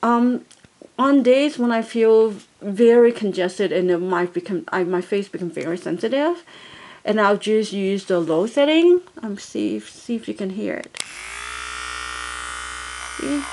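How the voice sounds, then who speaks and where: A woman's voice: mid-range voice, expressive, unhurried, darkish tone. Chatty woman reviewing a gadget. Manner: chatty, rambling, many fillers and restarts. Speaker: product reviewer. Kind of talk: casual product review.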